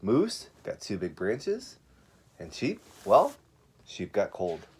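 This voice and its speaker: Man, teacher, very lively pitch, read-aloud style, young children's lesson, simple repeated directions, casual asides. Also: slow, a little hiss or hum.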